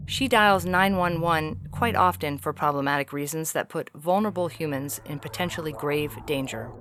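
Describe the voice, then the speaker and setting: Weary alien envoy , female reader, low register, formal and exacting, audio fiction, clearly noisy backing. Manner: formal, stilted, precise. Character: weary alien ambassador in disguise as middle-aged human woman. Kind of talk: scripted audio fiction reading.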